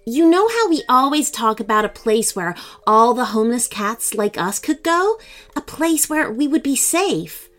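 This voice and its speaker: A woman's voice: on the high side, big pitch swings, unhurried, fairly bright tone. A woman voicing a smart, enthusiastic female street cat. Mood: eager. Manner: enthusiastic, dreamy.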